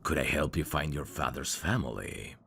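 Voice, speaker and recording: A man playing a suave, teasing demon in an intimate ASMR roleplay, courteous and flirtatious, with a gravelly voice. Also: a bass voice, expressive, normal speed, bright, forceful tone, slight background noise, heavily acted. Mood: intrigued, teasing. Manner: smooth-talking, flirtatious, formal phrasing with hesitations. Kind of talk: intimate ASMR roleplay acting addressed to the listener.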